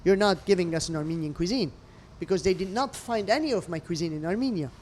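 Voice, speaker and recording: Expressive woman telling stories. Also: lowish voice, fast talker, slight background noise. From Lebanon. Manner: expressive storyteller with non-native English phrasing. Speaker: restaurant owner, interview guest. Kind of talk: conversational interview over a meal. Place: restaurant.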